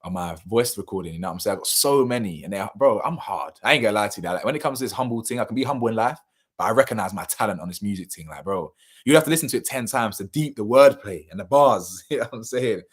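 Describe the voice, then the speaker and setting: A young man, chatting casually on a live stream and reading out viewer comments, slangy and animated, his voice a little hoarse. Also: fairly low pitch, quick pace. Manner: slangy, animated, laughs often, drifts between loud bursts and mumbles. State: slightly hoarse.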